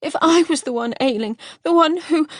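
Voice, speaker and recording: A woman's voice: on the high side, sing-song, measured pace, a little mellow, no echo, studio quality, pitched above the performer's own voice. A woman voicing a passionate, fiery young wife who speaks in a raised, urgent voice. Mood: anguished.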